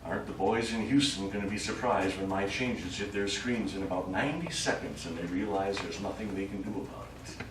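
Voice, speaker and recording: A man's voice: low voice, brisk, few pauses, lightly acted. Man playing a wry, scheming astronaut in an echoey theatre. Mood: musing, quietly satisfied. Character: wry astronaut, secretly an alien, wistful and scheming. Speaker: stage actor in a one-act play. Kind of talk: live stage drama monologue. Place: small indoor studio theatre stage. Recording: echoey small theatre, distant mic, faint noise.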